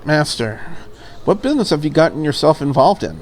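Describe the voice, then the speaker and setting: A man's voice: fairly high pitch, big pitch swings, fairly quick. A man voicing a polite, curious, slightly lonely maid in a visual novel, with formal and prim phrasing. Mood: musing.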